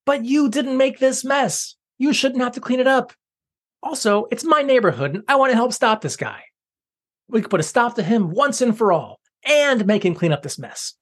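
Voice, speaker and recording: A man voicing a spirited young girl who talks eagerly and quickly in a storybook tale. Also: very high-pitched, lively intonation, voice pushed up.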